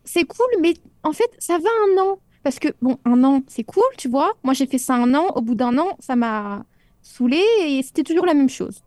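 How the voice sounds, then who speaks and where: A chatty radio host, quick to jump into the conversation and keep it moving. Her voice is high and bright, and it sounds close and dry with no echo around it. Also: expressive. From Paris suburbs, France. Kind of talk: casual on-air chat. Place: radio studio.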